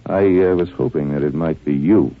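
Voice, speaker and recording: An actor playing a genial, shrewd detective doctor in 1940s radio drama style, with a folksy, musing delivery. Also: male voice, rumbling low, moderate pace. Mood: probing. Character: genial, shrewd male detective doctor investigating a desert murder. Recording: muffled, narrow-band vintage radio recording.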